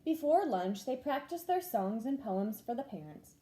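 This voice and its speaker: A woman reads a children's story aloud like a first-grade teacher. Her voice is fairly high and soft-edged, with very lively swings in pitch. She tells it expressively and paces it clearly. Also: normal speed. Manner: animated, clearly paced, acting out dialogue.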